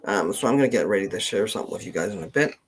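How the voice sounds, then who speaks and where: A man hosting a casual livestream, talking in a halting, hesitant way and often trailing off. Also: fairly low pitch, lively intonation, slightly rough. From Canada. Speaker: livestream host, genealogist.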